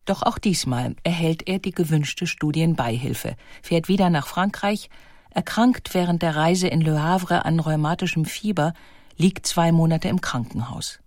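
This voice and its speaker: A woman narrating a scripted biographical documentary in a measured, articulate manner. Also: low-pitched, darkish tone, no room sound, clean studio sound.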